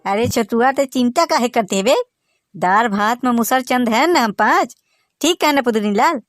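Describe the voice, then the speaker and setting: A man with a Bagheli accent voicing a boastful village son-in-law in a comic cartoon, teasing in lively banter. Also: extremely high pitch, normal speed. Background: music.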